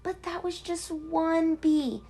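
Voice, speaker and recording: A woman's voice: very high-pitched, steady tone, slow, warm tone, voice pushed up. Woman reading as an eager, coaxing storybook bee enthusiast. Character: eager, persuasive bee-loving friend in a picture book.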